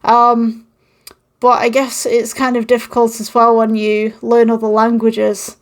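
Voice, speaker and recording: A woman's voice: fairly high pitch, completely flat delivery, a little slow. A woman from the United Kingdom with a mixed, Manchester-tinged northern English accent, talking casually to camera with many ums, recorded on an old microphone with a phone. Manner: rambling and encouraging, many ums. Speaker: channel host. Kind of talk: casual podcast-style talk to camera.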